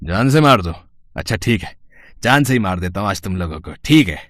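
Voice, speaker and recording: A man's voice: fairly low pitch, animated, brisk, fairly bright tone, no room sound, studio quality. A man playing a drunk, abusive village husband and father in a melodramatic audio story, suspicious and menacing, with slurred, bullying rambling.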